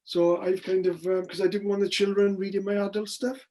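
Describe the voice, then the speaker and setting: A man's voice: sits high, little pitch movement, fairly quick, darkish tone. An older man conducts a casual interview. His delivery is conversational and curious. He tends to ramble as he works his way toward each question.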